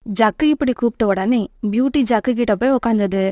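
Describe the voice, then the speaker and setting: A woman's voice: on the high side, brisk, darkish tone, no echo, clean studio sound. A woman narrating a scripted children's story as the storyteller.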